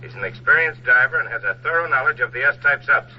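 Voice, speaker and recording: An actor playing an authoritative Navy admiral, formal, urgent and commanding, in a 1940s radio drama. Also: male voice, medium pitch, expressive, quick pace, close to an everyday voice. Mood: urgent, official. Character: brisk, authoritative male Navy admiral giving orders. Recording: muffled 1940s radio recording, faint noise.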